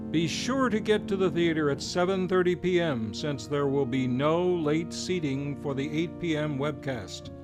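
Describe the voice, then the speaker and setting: A man with a fairly high voice announces in classic old-time radio style. His delivery is formal and theatrical, leaning on key words with deliberate emphasis. Guitar music plays clearly behind him. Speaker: announcer and host. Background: plucked guitar music.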